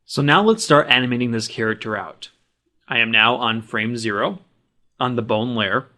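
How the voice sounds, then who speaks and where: A man with a mid-pitched voice narrates a software tutorial as the instructor. He talks plainly and practically, explaining each action step by step as he performs it. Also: moderate pace. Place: voice-over of a screen recording.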